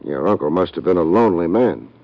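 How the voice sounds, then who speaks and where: A wisecracking hard-boiled detective. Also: male voice, low voice, expressive, quick pace. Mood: wry. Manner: dry, wisecracking. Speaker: narrator. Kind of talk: 1940s radio drama acting. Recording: muffled old radio recording.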